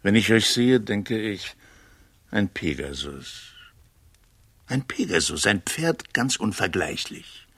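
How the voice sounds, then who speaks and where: Casting: composed aristocratic patron, radio drama. Unhurried, formal delivery, husky voice. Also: male voice, lowish voice, big pitch swings, fairly bright tone, no room sound, heavily acted. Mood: soothing. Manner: measured, formal speech. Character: courtly nobleman, composed, patronising friend of an old adventurer. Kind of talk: literary radio drama acting.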